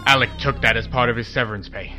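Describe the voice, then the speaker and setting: An actor playing a self-important, penny-pinching superhero in a comedy audio drama, earnest and declamatory. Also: male voice, mid-range voice, fast, music in the background, lightly acted. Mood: dismissive.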